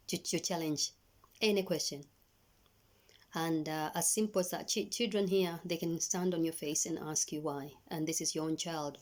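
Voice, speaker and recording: A middle-aged woman from Tanzania with a Tanzanian accent speaks in a low voice. Swahili is her first language. She offers parenting advice earnestly, often pausing and restarting her sentences. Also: a little mellow. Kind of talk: personal video message.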